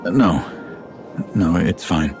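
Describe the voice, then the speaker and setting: Low-voiced, troubled warrior. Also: male voice, animated, takes it slowly. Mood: troubled, subdued. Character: disillusioned loyal male warrior, blunt and troubled. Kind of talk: video game cutscene acting. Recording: loud music behind. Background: music.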